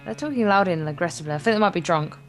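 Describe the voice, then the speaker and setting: A woman's voice: lowish voice, lively intonation, rapid-fire. A woman co-hosting a let's play, reading visual novel narration aloud, stumbling over words and adding wry, casual asides. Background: acoustic guitar game music.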